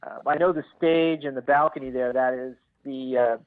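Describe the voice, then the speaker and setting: A man's voice: mid-range voice, fairly even intonation, moderate pace, dry recording. Man in dry, muffled lo-fi audio. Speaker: podcast guest, author. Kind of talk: thoughtful analytical discussion.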